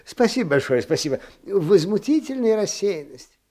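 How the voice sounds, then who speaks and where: Flustered, husky, absent-minded teacher. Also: male voice, high voice, sing-song, moderate pace, dark tone. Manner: muttering, stammering, repeating himself. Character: flustered absent-minded male chemistry teacher, fussy and excitable. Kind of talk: radio play acting.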